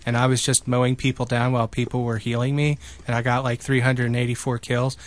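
Casual man with medium-pitched voice. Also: little pitch movement, quick pace. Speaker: podcast panelist. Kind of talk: casual chat.

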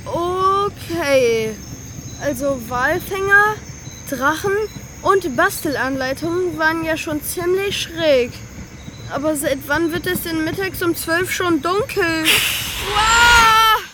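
A woman's voice: very high-pitched, takes it slowly, background noise. A woman playing a bewildered, easily frightened little talking train in a children's audio drama. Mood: puzzled. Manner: exclamatory, hesitant when startled.

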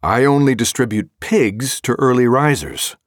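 A man's voice: medium pitch, lively intonation, moderate pace, no echo, lightly acted. An actor playing a kindly farmer father in an audiobook, with a dry, mock-solemn way of talking. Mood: dryly amused. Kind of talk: audiobook full-cast character acting.

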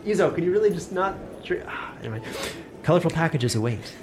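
A man's voice: a little high, animated, fast talker, noisy background. A man giving scripted narration for a fantasy story.

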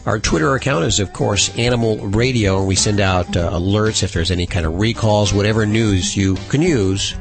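A man hosting a radio show, upbeat and smooth as he plugs the website and signs off. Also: fairly low pitch, normal speed, hardly any pauses, over music. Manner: upbeat, promotional, smooth sign-off patter.

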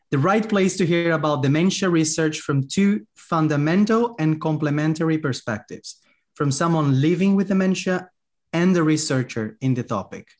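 Brazilian-accented male podcast host. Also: fairly high pitch. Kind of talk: scripted podcast intro and interview.

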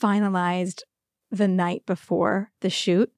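A woman's voice: on the low side, level tone, takes it slowly, darkish tone, no room sound. Upbeat young woman, dry studio sound. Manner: enthusiastic, giggly. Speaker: podcast co-host and actor. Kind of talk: casual podcast chat.

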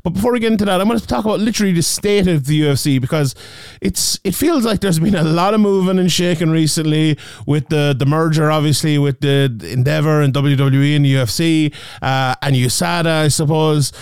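A man from Ireland with a Limerick accent, hosting a casual podcast chat, talking fast with stumbling restarts. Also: fairly high pitch, smooth, no echo, clean studio sound. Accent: Limerick Irish. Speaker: podcast host.

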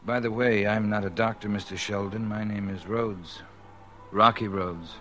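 An actor playing a calm, grave rescuer in a 1940s radio thriller, measured and quietly firm. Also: male voice, on the low side, over music, close to an everyday voice. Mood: matter-of-fact. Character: calm, grave rescuer who is secretly the hunted man. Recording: noisy vintage 1940s radio recording.

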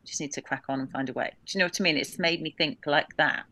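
A woman in her thirties recalling family loss in an interview, halting with many restarts, now and then laughing through it. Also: low-pitched, fairly even intonation, pushed and bright. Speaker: podcast guest. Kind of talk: candid emotional interview.

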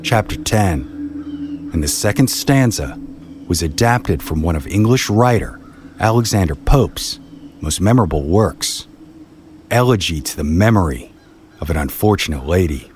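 Very deep-voiced man narrating a story. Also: animated, unhurried, a little mellow, slight background noise. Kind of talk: scripted documentary-style storytelling narration.

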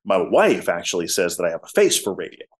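A man with a low voice, a musician telling stories in a casual interview. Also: very animated, fast talker. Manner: talkative storyteller, drops to soft tones. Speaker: musician guest.

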